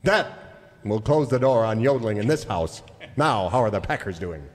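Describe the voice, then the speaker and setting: An actor playing an exasperated, scolding dad in a radio comedy sketch. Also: male voice, on the low side, expressive, normal speed, close to an everyday voice. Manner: pleading, scolding, repetitive. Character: exasperated middle-class dad wanting peace for football. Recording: reverberant theater hall.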